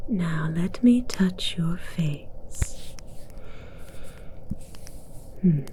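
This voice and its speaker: Woman acting a slow, whispering, imperious dragon queen. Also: low-pitched, dark tone, slight background noise. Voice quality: breathy, mostly whispered. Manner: slow, regal, formal phrasing with drawn-out pauses. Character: imperious, seductive female dragon queen, amused and condescending. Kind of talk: whispered ASMR roleplay, scripted character monologue.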